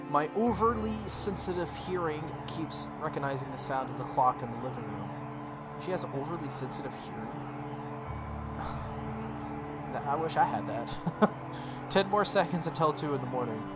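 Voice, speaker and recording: A man's voice: fairly high pitch, animated, normal speed. A man hosting a game playthrough, reading a visual novel aloud with dramatic delivery and casual asides. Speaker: video game playthrough host. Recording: background music behind the voice. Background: game music.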